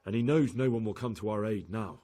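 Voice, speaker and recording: Male actor doing young game hero, earnest, lowish voice, bit of husk. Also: brisk, warm tone, lightly acted. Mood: earnest, grave. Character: earnest young man reporting to lords, escaped captive. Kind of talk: video game cutscene acting.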